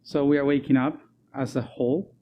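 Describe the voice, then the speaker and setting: Soft-spoken man. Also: mid-range voice, fairly even intonation, lo-fi sound, a little hiss or hum. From Argentina. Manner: soft-spoken, laughs often, many um and uh pauses. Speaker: guest. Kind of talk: casual interview talk.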